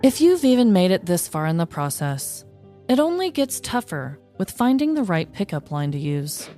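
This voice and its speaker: Animated giggly woman podcast host. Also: lowish voice, soft background music. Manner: animated, giggly, quick asides. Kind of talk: casual chat and scripted storytelling.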